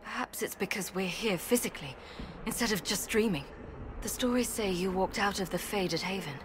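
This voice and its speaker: A woman's voice: medium pitch, fairly quick, noisy background, lightly acted. A woman playing an alert, pragmatic adventurer champion in a fantasy video game, questioning and direct. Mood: wary, questioning. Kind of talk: dramatic video game acting.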